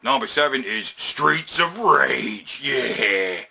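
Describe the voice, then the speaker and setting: A man's voice: mid-range voice, animated, takes it slowly, vocal fry, over loud music. A man hosting a casual, opinionated countdown, talking in emphatic, sweary slang and repeating phrases to drive them home. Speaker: host of a video game and movie countdown.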